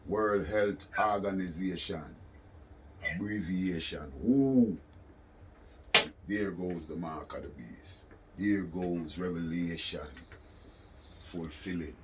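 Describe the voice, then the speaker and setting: Deep-voiced Caribbean man preaching, noisy recording. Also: slow pace. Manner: preaching, insistent, repeats phrases for emphasis. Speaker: interviewee. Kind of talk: impromptu phone interview, explaining his views. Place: studio, during a phone call. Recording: noisy ambient room recording.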